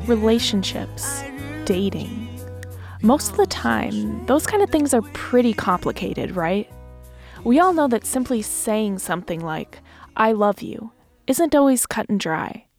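A woman's voice: a little high, lively intonation, takes it slowly, gravelly, darkish tone, faint music behind. A woman hosting a podcast segment, delivering scripted narration in a conversational way. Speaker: podcast host.

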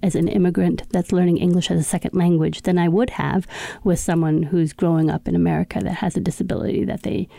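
A middle-aged woman speaks in a low, mellow voice, recorded dry and close. She explains things slowly and carefully, pausing with fillers as she lays out her points. She is an instructor being interviewed. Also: fairly even intonation, vocal fry, no echo. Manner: measured, explanatory, frequent fillers. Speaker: university sign language instructor. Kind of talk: interview conversation.

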